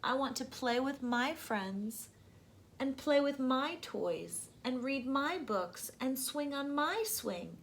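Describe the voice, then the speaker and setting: A woman's voice: high-pitched, lively intonation, takes it slowly, heavily acted. A woman voicing a young boy raccoon with childlike, earnest questioning in a children's storybook. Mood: pleading. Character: young boy raccoon, pleading, curious and loving. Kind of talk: children's storybook read-aloud.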